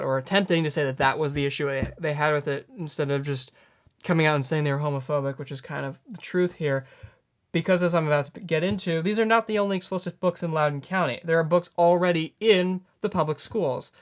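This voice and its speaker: A man in his late teens hosting a news commentary, earnest and halting as he corrects himself. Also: on the high side. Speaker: news host.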